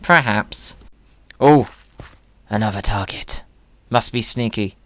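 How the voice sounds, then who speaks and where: A man's voice: on the low side, slow pace, a bit bright, no echo. A man giving casual, playful gaming commentary with whimsical wording. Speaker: video creator.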